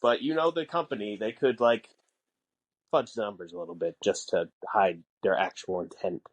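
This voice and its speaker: A man co-hosting a podcast, explaining casually in a halting way, piecing his thoughts together. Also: on the low side, slightly rough, rough recording. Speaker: podcast co-host.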